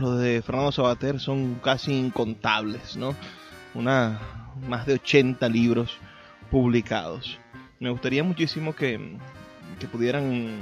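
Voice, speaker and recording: Venezuelan male radio host over music. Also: mid-range voice. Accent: Venezuelan Spanish. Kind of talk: radio presenting, conversational. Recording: dull lo-fi sound with music.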